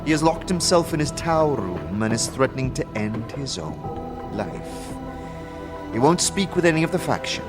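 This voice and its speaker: A man playing a solemn, formal faction leader with a measured, commanding manner in a fantasy game audio drama. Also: mid-range voice, very animated, over music, natural voice. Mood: grave, urgent.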